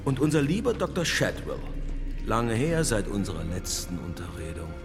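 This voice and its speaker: An actor playing a haughty, coldly menacing bishop in a radio play, condescending and mock-courteous. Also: male voice, lowish voice, big pitch swings, normal speed. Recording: music behind the voice.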